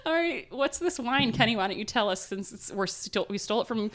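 A woman's voice: a little high, expressive, brisk, a bit bright. A woman in her thirties with a nasal voice, telling stories in a casual podcast chat and laughing often. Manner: chatty, laughing storyteller. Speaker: podcast co-host.

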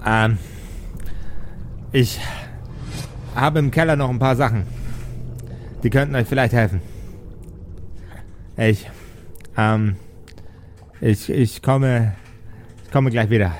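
A man's voice: medium pitch, takes it slowly, long pauses, a little hiss or hum, pitched below the performer's own voice, lightly acted. A man voicing a nervous, exasperated old wizard who stammers, repeats himself and grumbles, in an improvised fantasy audio play. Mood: muttering, anxious. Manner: stammering, flustered, muttering repetitions.

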